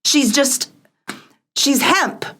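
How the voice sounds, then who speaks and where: A woman with a fairly high, very lively voice speaks as a podcast co-host. Her delivery is theatrical and sardonic, with heavy emphasis and a sharp, bright edge. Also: very slow. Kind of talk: mock-dramatic reading of tweets, mixed with casual chat.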